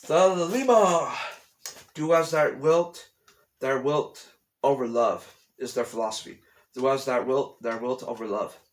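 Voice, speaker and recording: A man in a casual home vlog, talking in a middle-pitched voice. He rambles, circles back to repeat phrases and bluntly waves off conspiracy theories. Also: a little slow. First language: English. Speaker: vlogger. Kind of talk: casual explanatory monologue. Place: at home, room with a TV.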